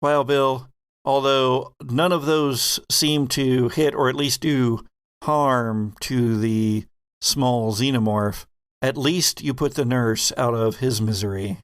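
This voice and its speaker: A man's voice: mid-range voice, fairly even intonation, slow, smooth, no room sound, studio quality. A man narrating a horror sci-fi tabletop game as game master, with wry, vivid description.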